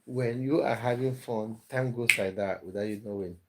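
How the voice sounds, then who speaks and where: A man's voice: medium pitch, moderate pace, heavy background noise. A man hosting a casual live stream, reading viewers' comments aloud and answering them with easy laughter.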